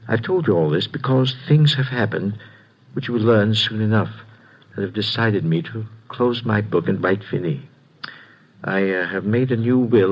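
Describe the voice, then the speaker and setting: An actor in a 1950s radio drama, reading out a remorseful farewell letter in a measured way. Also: male voice, fairly low pitch, husky. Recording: dull old 1950s radio recording.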